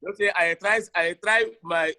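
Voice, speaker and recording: A man's voice: high-pitched, fairly even intonation, normal speed, pushed and bright, clean tone. A man with a Nigerian Igbo accent, giving his opinions in an interview in an emphatic way, with short rhetorical questions. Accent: Nigerian Igbo-language accent. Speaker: interviewee, sports broadcaster.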